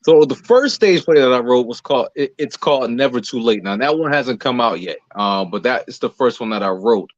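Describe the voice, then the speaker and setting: A man's voice: medium pitch, animated, a little fast, few pauses, no echo. An American man giving casual answers as an interview guest, on a slightly muffled recording. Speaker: interview guest, singer, actor and playwright.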